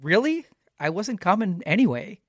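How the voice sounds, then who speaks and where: A man's voice: high-pitched, sing-song, normal speed, dry recording, studio quality. A man hosting a podcast, chatting casually with wry, wisecracking remarks. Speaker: podcast host. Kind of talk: casual joking chat.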